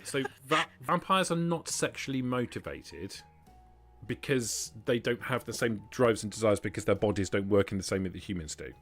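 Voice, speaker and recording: Low-pitched man running a tabletop game. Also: animated, fairly bright tone, soft background music. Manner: measured, detailed explaining. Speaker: game master. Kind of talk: explaining tabletop game rules and lore.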